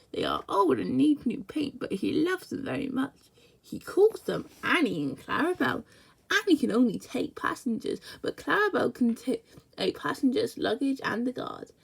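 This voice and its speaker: A high-voiced young-sounding reader reading a children's storybook aloud, stumbling and repeating words along the way. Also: big pitch swings, normal speed, vocal fry, husky.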